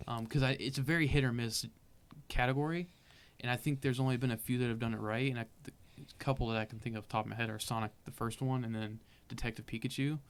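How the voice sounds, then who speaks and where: A man's voice: medium pitch, steady tone, fast, clean tone. A man in casual podcast chat, easygoing, steering the talk back to the topic. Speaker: podcast co-host.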